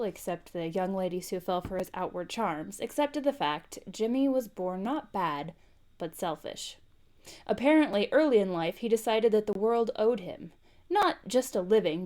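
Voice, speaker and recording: A woman's voice: medium pitch, a little mellow. A woman hosting an informal live read-aloud, speaking in a conversational way as she chats and narrates. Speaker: host reading a book aloud on a live stream. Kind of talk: informal livestream chat and audiobook-style narration.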